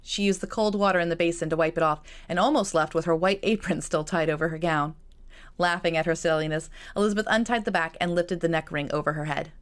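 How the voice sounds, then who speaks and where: A woman's voice: on the low side, fairly even intonation, fast, clear, resonant tone. A woman reading her own novel as scripted audiobook narration.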